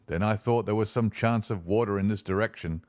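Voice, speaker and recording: Narrator voicing a worn-out frontiersman, low rough drawl, soft and patient. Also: dry recording, natural voice. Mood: gentle. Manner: rough frontier dialect, tender and slow. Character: weathered frontier man, gentle and resigned.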